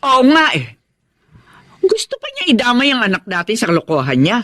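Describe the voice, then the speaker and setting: A woman's voice: fairly high pitch, huge pitch swings, a little fast, fairly bright tone, dry recording, studio quality, heavily acted. An actress playing a worried middle-aged mother in a Filipino radio drama, reproving and concerned. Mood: disapproving.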